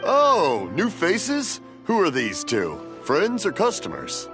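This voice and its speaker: A man's voice: high voice, wildly swooping pitch, slow pace, over music, exaggerated voice. A man playing a jovial old father in a video game, a hearty, long-winded storyteller. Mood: jovial, welcoming. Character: jovial, rambling old father, a fireworks maker. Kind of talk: animated video game dialogue acting.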